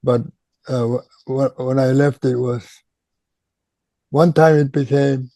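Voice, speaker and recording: An older man, a native Korean speaker with a Korean accent, recalling his career as a retired chemistry professor in an oral history interview, speaking haltingly with many pauses and repeated words. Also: mid-range voice, steady tone, dark tone, no room sound. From Japan.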